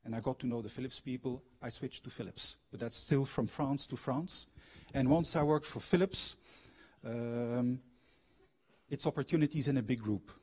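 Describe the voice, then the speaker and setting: Husky middle-aged man giving a lecture. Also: mid-range voice, normal speed, darkish tone. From Belgian, grew up abroad. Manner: engages audience with questions. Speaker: guest lecturer, former factory manager and trained coach. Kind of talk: public lecture with personal anecdotes. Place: university lecture hall.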